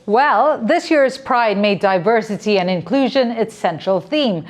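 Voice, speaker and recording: A middle-aged woman hosting a TV interview show in a studio, asking questions smoothly. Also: mid-range voice, animated, a little slow. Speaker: host.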